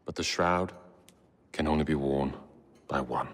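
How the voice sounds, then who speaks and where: An actor playing a grand, cold Victorian Templar master in a video game, formal, commanding and measured in his oratory. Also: rumbling low, fairly even intonation. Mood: grave, imperious. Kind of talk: dramatic game cutscene acting. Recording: long hall reverb, faint noise.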